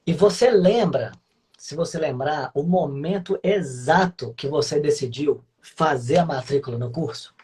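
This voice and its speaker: A man hosting a casual interview, relaxed and encouraging, often adding tag questions. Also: on the high side, measured pace, husky. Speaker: host, exam-prep course teacher.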